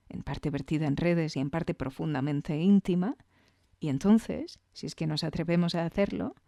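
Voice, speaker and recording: A woman's voice: fairly low pitch, dark tone, dry recording. Woman podcast host, close dry sound. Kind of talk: scripted podcast narration with conversational asides.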